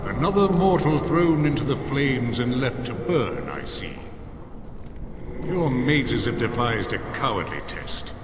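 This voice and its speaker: A man's voice: mid-range voice, big pitch swings, a little slow. A man playing a proud, stern warrior spirit in a fantasy video game, speaking formally and haughtily with an otherworldly reverb. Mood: solemn disdain. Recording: echoing reverb with loud background noise.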